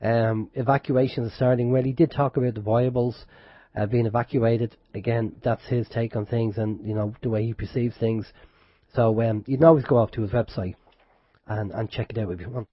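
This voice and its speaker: A man's voice: mid-range voice, fairly even intonation, fairly quick, no room sound. A man hosting an internet radio show in casual chat, with a head cold and sore throat. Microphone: close studio microphone. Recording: dull lo-fi internet radio stream.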